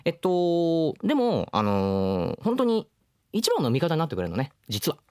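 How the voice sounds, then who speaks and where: A man's voice: a little high, huge pitch swings, moderate pace, darkish tone, clean tone, no room sound, studio quality. A man hosting a radio show, giving earnest, frank advice in casual, slangy chat. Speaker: radio show host, voice actor.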